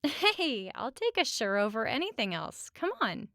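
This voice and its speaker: A woman playing a friendly, reassuring roommate in a conversational comfort roleplay, speaking gently and casually at regular volume. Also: on the high side, very animated, measured pace, no room sound, studio quality, exaggerated voice. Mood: friendly, inviting. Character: friendly, reassuring young female roommate offering company.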